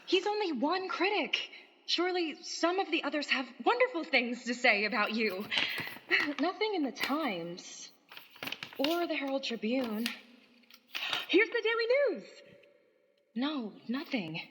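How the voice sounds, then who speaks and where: A bright, high-voiced, loyal ingénue. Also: female voice, big pitch swings, unhurried, long pauses, big-room sound, a little hiss or hum, full character voice. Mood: sympathetic. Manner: earnest, sweetly emphatic. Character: warm, loyal, affectionate young actress consoling a colleague. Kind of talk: radio play acting.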